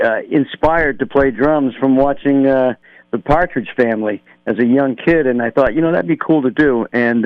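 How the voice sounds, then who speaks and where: A man's voice: mid-range voice, no echo. A man from New Hampshire, a drummer calling in to a radio interview, telling long stories loosely with many fillers. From New Hampshire, USA. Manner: rambling, relaxed storytelling with many fillers. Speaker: musician guest, drummer. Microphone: telephone. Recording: dull telephone line.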